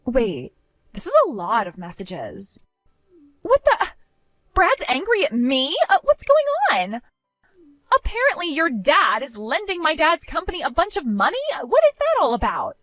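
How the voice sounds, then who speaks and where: A woman's voice: high voice, wildly swooping pitch, a little slow, fairly bright tone, dry recording, faint music behind, pitched above the performer's own voice, heavily acted. A woman voicing a spiteful, mocking young woman who giggles and taunts, then pleads when cornered. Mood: pleading. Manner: sing-song, dismissive, turns pleading.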